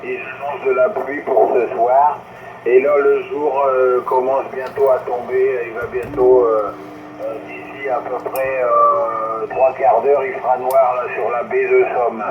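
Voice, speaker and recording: A man on a CB radio contact, speaking in an unhurried way with drawn-out filler sounds. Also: medium pitch, flat delivery. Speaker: CB radio operator. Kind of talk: casual radio contact chat. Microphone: heard over a radio link. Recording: tinny, noisy radio transmission.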